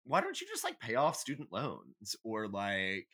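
A man's voice: on the low side, huge pitch swings, a little slow, a bit bright. A man co-hosting a podcast, chatting casually and comedically in an emphatic, opinionated way with some swearing. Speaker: podcast host.

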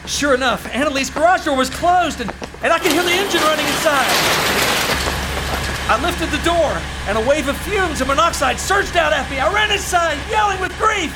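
A man's voice: extremely high pitch, moderate pace, over loud music, rough recording. A man acting in an old-time radio comedy as a wry, sardonic but fond publicity man, with dry, teasing, theatrical delivery and first-person narration. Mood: alarmed. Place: small-town theater stage, live performance. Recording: live stage recording with background noise.

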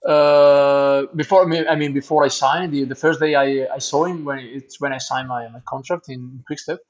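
Italian pro cyclist guest, 30s, accented English with a Flemish edge, halting, on a muffled lo-fi line. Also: male voice, mid-range voice, fairly even intonation. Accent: Italian, with a Flemish tinge. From Italy. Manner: halting, restarts words, searches for English phrases. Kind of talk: casual interview answers.

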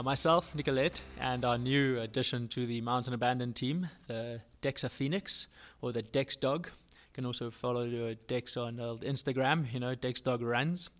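Easygoing man hosting. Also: medium pitch. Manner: loose, laughing, filler-heavy. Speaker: podcast host. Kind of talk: casual podcast intro. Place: campsite.

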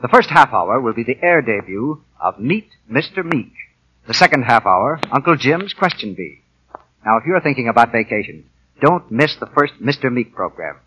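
A man's voice: medium pitch, big pitch swings, moderate pace, pushed and bright, husky, dull audio. A man reading scripted credits and notices as an announcer on a 1940s radio broadcast. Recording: vintage 1940 radio broadcast.

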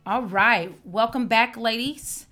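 A woman from Oklahoma hosting a podcast, upbeat and expressive in casual chat. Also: fairly high pitch, slow pace, faint music behind. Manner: upbeat, expressive, says "honey". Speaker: podcast host.

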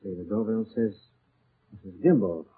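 An actor playing a suave, confident, dryly witty amateur sleuth in a 1940s radio drama. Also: male voice, fairly low pitch, normal speed, breathy edge. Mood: smooth, wry. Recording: muffled narrow-band 1940s radio recording.

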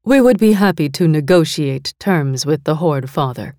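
A woman reading the lines of a calm, shrewd woman leader, bargaining in a cool, measured way. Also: deep voice, no echo, studio quality. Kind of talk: audiobook character voice.

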